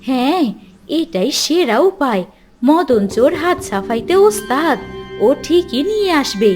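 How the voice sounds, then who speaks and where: A woman's voice: sits high, sing-song, measured pace. A woman playing an envious, grasping village woman who plots in a cartoon folk tale, indignant and cunning. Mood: scheming. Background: background music.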